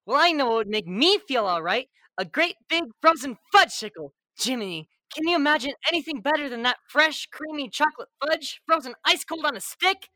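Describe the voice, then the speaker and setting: A woman's voice: sits high, animated, a little fast, few pauses, bright, forceful tone, no echo, poor audio. A young woman playing a gushing youngster in an old-time radio advertisement.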